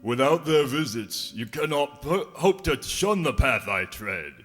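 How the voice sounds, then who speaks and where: A mournful, solemn ghost in a radio drama, full of remorse. He speaks very slowly and grandly, his words ringing in a long, cavernous reverb with music behind. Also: mid-range voice, animated, fairly bright tone. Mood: grave, warning. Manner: slow, solemn, grandiloquent delivery. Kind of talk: theatrical radio play acting.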